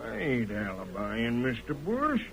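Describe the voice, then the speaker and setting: An American actor playing a cowed, defensive Old West sheriff in a radio western, pleading and protesting in rustic speech. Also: male voice, mid-range voice, huge pitch swings, unhurried, a crowd in the background. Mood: flustered, protesting. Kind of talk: 1940s radio western acting. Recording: old radio drama recording.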